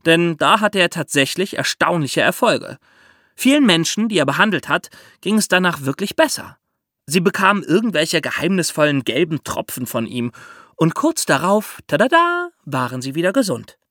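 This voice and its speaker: A man hosting a podcast, explaining step by step in lively storytelling for children with theatrical emphasis. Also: fairly high pitch, normal speed, no room sound, studio quality.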